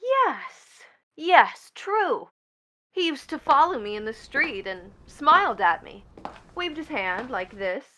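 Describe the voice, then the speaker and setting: An actress playing a mocking, bitter young stepdaughter in a stage drama, with a taunting, sardonic delivery. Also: female voice, sits high, sing-song, slow pace, pushed and bright, exaggerated voice. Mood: wry, reminiscing. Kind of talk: theatrical stage drama acting.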